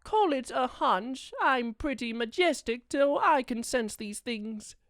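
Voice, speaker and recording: A woman's voice: on the high side, lively intonation, slow, no room sound, studio quality. A woman voicing a majestic, doting male owl demon prince in a comforting audio roleplay, with regal, affectionate and theatrical phrasing. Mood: doting, reassuring.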